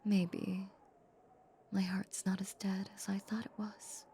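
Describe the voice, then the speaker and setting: A woman playing a tender, possessive female vampire in an intimate ASMR roleplay, soothing and hushed, often slipping into whispers. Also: mid-range voice, little pitch movement, slow pace, a little hiss or hum. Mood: doting, wistful.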